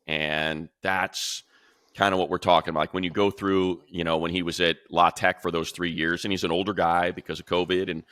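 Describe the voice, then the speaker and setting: Deep-voiced man in casual sports talk. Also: no echo, studio quality. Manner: animated, talks in quick bursts with restarts. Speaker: radio play-by-play announcer. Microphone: video call.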